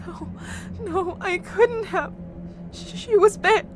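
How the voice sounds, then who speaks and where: Woman acting a shaken young survivor, high-voiced, unhurried. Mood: pleading, distraught. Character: shaken, guilt-ridden young woman survivor of a zombie apocalypse. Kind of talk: amateur audio drama acting.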